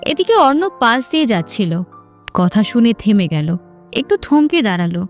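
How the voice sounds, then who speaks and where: A woman's voice: fairly high pitch, big pitch swings, moderate pace, soft background music. A woman narrator reading a scripted romantic story.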